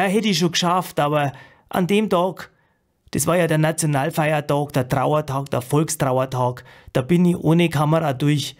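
A man's voice: a little high, a little mellow. A man with a Bavarian accent talking casually to his viewers in a blunt, colloquial way. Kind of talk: casual spoken commentary to viewers.

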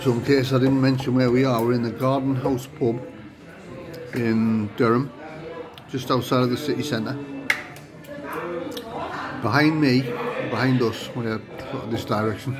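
A man's voice: medium pitch, steady tone, unhurried, long pauses. A man, chatting casually to a phone camera while reviewing a pub meal, tired and rambling. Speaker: food vlogger. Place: pub dining room, indoors. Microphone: phone held close to the face. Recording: music playing behind.

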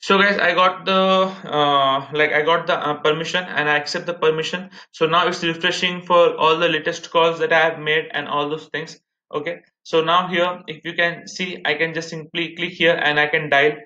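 Indian guy doing a step by step tech tutorial, highish voice, muffled echoey room. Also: flat delivery, normal speed, sharp tone. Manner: repetitive, step-by-step explaining, addressing viewers as guys. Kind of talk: casual screen-share tutorial.

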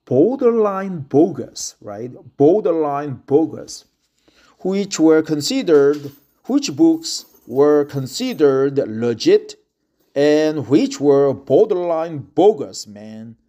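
A man's voice: fairly high pitch, very animated, slow, dark tone. A man who speaks English with a Korean accent reads an article aloud, repeating phrases and stopping to comment in a casual way. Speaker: reader practicing English.

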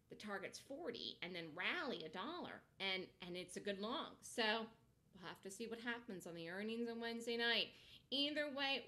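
A woman's voice: mid-range voice, pushed and bright. A woman with a mid-range voice delivers informal market commentary as a host. She talks conversationally and thinks aloud as she goes. Her delivery is emphatic, with words repeated for stress and questions she answers herself. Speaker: stock trading commentator and host. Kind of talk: informal market commentary over screen-shared charts.

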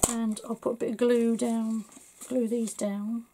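A middle-aged woman from England, slightly hoarse, chatting her way through a papercraft tutorial at her desk with lots of fillers. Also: on the high side, little pitch movement, measured pace, background noise. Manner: chatty, rambling, full of fillers. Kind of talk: casual crafting tutorial.

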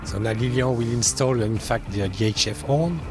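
A man gives an explanatory factory demonstration into a handheld mic, haltingly, searching for words. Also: medium pitch. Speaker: factory guide. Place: large factory workshop, indoors. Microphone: handheld microphone. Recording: music behind the voice.

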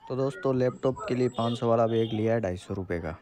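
A man in his thirties from Rajasthan, vlogging in a lively, chatty way as he walks through an outdoor street market, quoting prices and haggling with vendors, recorded on a handheld camera at arm's length. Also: medium pitch, quick pace. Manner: lively, chatty, keeps saying "so friends", quotes prices and bargains with vendors. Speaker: travel vlogger. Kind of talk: casual vlog chat while walking a street market.

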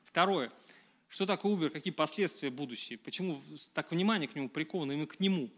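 Middle-aged guy lecturing a small room, slightly high voice, lots of um and restarts, caught from a far camera. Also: quick pace, fairly bright tone, lo-fi sound. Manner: conversational, many fillers and restarts, talks to the audience. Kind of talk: public lecture to a live audience. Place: small dark lecture room with a projection screen.

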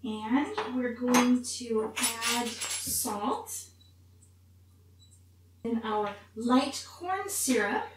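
A woman's voice: fairly high pitch, drawn-out words. A middle-aged woman hosting a homely, chatty recipe demonstration to camera in a grandmotherly storybook manner. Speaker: cooking show host in character as Mrs. Claus. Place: home kitchen, indoors. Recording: very echoey, distant mic, faint room noise.

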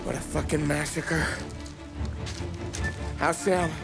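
A tough 1930s mob getaway driver in a video game drama. His voice is pitched high and urgent, yet he stays steady. Loud music plays right over him. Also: slow pace, vocal fry. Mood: grim, reassuring.

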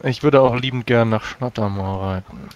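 A dutiful knight in a fantasy tabletop game, played by a man. His voice is mid-pitched, his wording formal and deliberate. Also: darkish tone, a little hiss or hum, natural voice. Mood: formal, earnest.

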